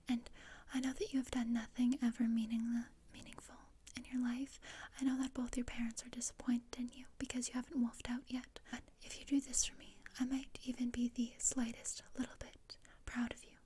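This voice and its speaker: A woman playing a morbid, bossy gothic teenage girl in a breathy, deadpan ASMR roleplay whisper, close to the microphone. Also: on the high side, a little fast, hardly any pauses, close to an everyday voice. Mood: grudging, cutting. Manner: deadpan, dry, matter-of-fact. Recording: close-up with clear noise and clicks.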